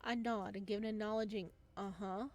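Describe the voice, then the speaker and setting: A woman hosts a gameplay session, reading a visual novel aloud. Her voice sits at a middle pitch. She moves between the written story and off-the-cuff asides. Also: fairly even intonation, fairly quick, darkish tone.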